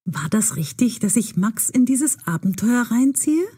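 A woman playing a young woman in a mystery audio play, with careful, drawn-out delivery. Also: on the high side, sing-song, dark tone, husky, full character voice. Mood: doubtful. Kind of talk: audio drama dialogue for learners.

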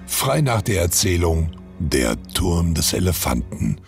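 A man's voice: deep voice, very animated, measured pace, faint music behind. A man reading scripted credits as the announcer of an audio drama.

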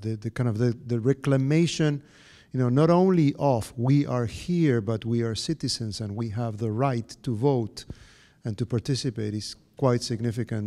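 A man with an Argentine Spanish accent asking a long, halting, academic question from the floor in a large hall. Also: medium pitch, dark tone. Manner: halting, many fillers and restarts, academic. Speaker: audience member.

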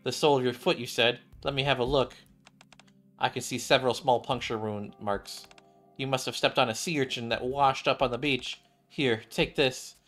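Male streamer as woman doctor, game character, concerned, composed, mid pitch, faint music. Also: steady tone, moderate pace, fairly bright tone, lightly acted.

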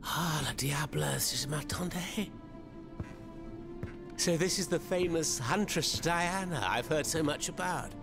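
An actor playing a mocking, zealous revolutionary in a video game, speaking in a flowery, sardonic manner. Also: male voice, a little high, slow pace. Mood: flattering, theatrical. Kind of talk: video game cutscene acting. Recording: game music behind the voice.

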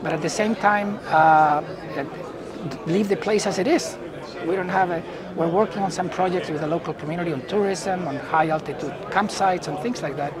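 A middle-aged man from Chile with a Chilean Spanish accent, answering interview questions as a company executive in halting phrases. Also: high voice. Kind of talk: unscripted business interview. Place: indoor booth at a trade conference. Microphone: lapel mic. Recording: busy event hall chatter behind.

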